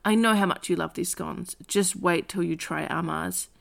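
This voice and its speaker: A woman reading the lines of a charming, eager young man in an audiobook-style reading, with a lively, coaxing delivery. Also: lowish voice, normal speed, creaky. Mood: enthusiastic.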